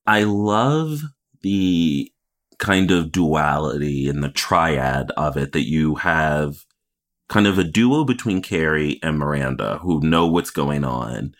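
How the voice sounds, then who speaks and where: A man's voice: extremely low pitch, animated, slow pace. A man co-hosting a podcast in a casual, conversational recap style, acting out imagined reactions as he goes. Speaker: podcast co-host.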